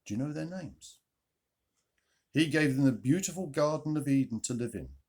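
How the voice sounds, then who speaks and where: A man narrating a children's Bible story, with expressive emphasis and questions put to the listeners. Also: a little high, husky. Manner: expressive, dramatic emphasis and pauses. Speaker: storyteller, reader.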